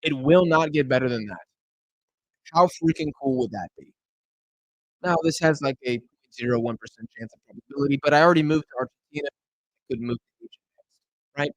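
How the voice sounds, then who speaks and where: A man's voice: fairly high pitch, expressive, brisk, gravelly, pauses often, no room sound, low-quality recording. Tired young man rambling on a live stream. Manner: chatty, rambling, answers chat questions. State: tired, losing his voice. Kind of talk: casual live stream chat. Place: home room in Argentina.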